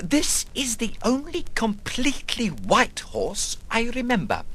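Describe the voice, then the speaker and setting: An actor reading a literary monologue as a rambling, brooding, eccentric man recalling his past, theatrical and halting, moving between murmur and outburst. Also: extremely high pitch, wildly swooping pitch, fairly bright tone, husky, exaggerated voice. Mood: musing. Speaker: reader of a literary prose monologue.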